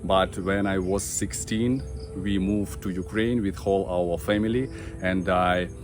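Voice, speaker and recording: A man in his thirties with a Russian accent, talking to camera about his family, halting with many fillers and restarts. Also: low voice, fairly even intonation, music in the background. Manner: halting, many fillers and restarts, simple non-native grammar. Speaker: vlogger. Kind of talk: personal talk to camera.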